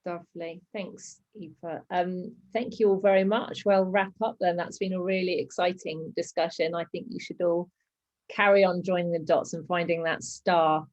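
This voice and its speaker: A woman's voice: on the low side, fairly even intonation, normal speed, darkish tone, dull audio. A woman moderating a video-call panel, politely thanking guests and posing questions.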